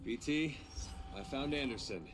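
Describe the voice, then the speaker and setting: An actor playing a wry young soldier pilot in a video game, casual and understated. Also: male voice, mid-range voice, unhurried, music in the background, natural voice. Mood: wry, uneasy. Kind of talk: scripted game dialogue.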